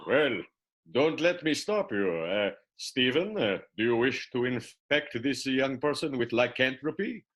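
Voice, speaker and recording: Man playing husky, pompous vaudeville gentleman. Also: medium pitch, lively intonation, unhurried. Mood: blithe, polite. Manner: pompous, hesitant hemming, theatrical. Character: suave, pompous vaudeville gentleman villain in smoking jacket. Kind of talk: improvised radio soap opera acting.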